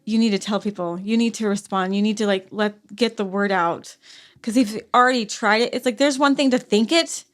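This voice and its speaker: A woman in her forties, a podcast guest telling a personal story in conversation. She speaks softly and haltingly, with frequent sighs and restarts. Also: mid-range voice.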